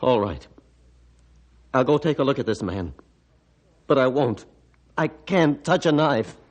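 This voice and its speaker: An actor playing a bitter, guilt-ridden hermit who was once a surgeon, gruff and theatrical in old-time radio style. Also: male voice, mid-range voice, expressive, unhurried. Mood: reluctant, troubled.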